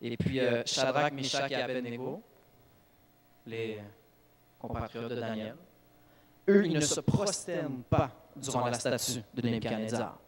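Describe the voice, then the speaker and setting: A man, a native English speaker, preaching in a church with lively bursts of emphasis and frequent restarts. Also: mid-range voice, measured pace, long pauses. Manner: animated preaching with restarts and self-corrections. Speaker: youth speaker. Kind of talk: sermon.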